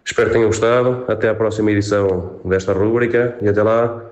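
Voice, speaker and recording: A man with a European Portuguese accent speaks in a fairly low voice. He hosts an explanatory advice talk, setting out questions and answering them himself. His manner is didactic, with informal asides to the audience. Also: steady tone, fast, a little mellow, dull audio. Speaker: host of a health advice segment.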